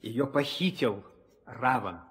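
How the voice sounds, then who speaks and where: A man's voice: mid-range voice, takes it slowly, fairly bright tone. A man playing a noble, courteous warrior prince in an old audio play, speaking formally and solemnly. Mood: sorrowful, courteous. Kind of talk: 1960s Soviet audio play acting. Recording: old studio record with long reverb.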